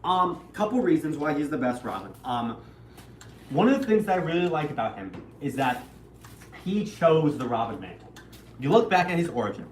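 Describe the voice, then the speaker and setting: A man's voice: fairly high pitch, very animated, a little fast, slight background noise. A young man chatting casually on a livestream, enthusiastic and talkative, swearing easily and acting out characters. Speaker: livestream co-host. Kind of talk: casual chat. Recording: echoey room, mic at a distance.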